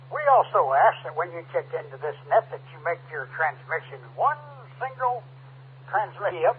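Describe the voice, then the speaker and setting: A man's voice: a little high, expressive, normal speed. A man acting as an amateur radio net controller, reading out procedures with off-the-cuff asides over a two-way radio. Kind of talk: scripted net preamble with ad-libbed asides. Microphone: radio transceiver microphone. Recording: tinny narrowband radio link, hum and static.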